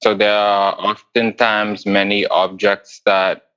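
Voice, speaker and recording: Man, 40s, from the Bahamas, low bright voice, thoughtful, dull lo-fi sound. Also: little pitch movement, clean tone. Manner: thoughtful, unhurried, with pauses and restarts. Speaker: guest artist. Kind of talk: interview answers.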